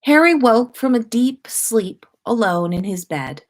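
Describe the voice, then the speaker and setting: Slow, expressive woman storyteller. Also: fairly high pitch. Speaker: host reading listeners' stories on a livestream. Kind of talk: expressive storybook-style narration.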